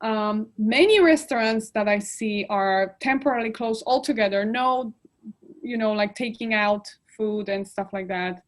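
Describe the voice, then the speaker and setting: A woman in a relaxed chat. Her voice sits a bit high, and she piles up examples with lots of "like" as she talks. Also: measured pace. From Poland. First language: Polish. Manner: expressive, lists details, frequent "like" fillers. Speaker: guest. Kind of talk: casual chat.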